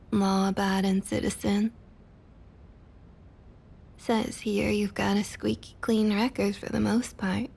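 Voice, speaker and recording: A woman playing a smug, condescending vampire councilwoman in an ASMR roleplay, with a Southern US accent and a falsely sweet, breathy delivery that often drops to a near whisper. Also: medium pitch, darkish tone, heavily acted. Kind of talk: ASMR roleplay, intimate scripted monologue.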